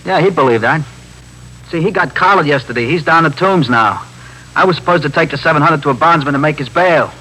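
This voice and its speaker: An American actor playing a slangy, evasive, self-pitying small-time crook in a 1950s radio drama. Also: male voice, on the high side, fairly quick, pushed and bright. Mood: pleading. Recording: faintly noisy 1950s radio recording.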